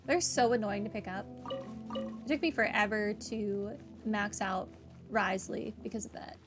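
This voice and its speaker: A woman's voice: mid-range voice, normal speed, music in the background. A woman giving casual, offhand commentary on a game playthrough, with wry asides. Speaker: gamer recording a playthrough.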